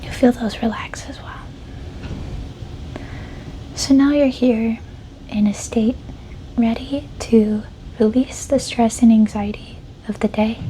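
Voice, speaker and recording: A woman guides a meditation in a soft ASMR style, her voice fairly high and mellow. She speaks very slowly and soothingly, breathy and often close to whispering. A light layer of ambient noise sits behind her. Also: low-quality recording. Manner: gentle, unhurried, soothing instruction.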